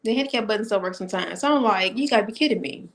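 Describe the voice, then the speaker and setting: An American woman hosting an interview over a video call, talking in a friendly, halting way with fillers. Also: mid-range voice. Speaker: podcast host. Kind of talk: casual interview chat.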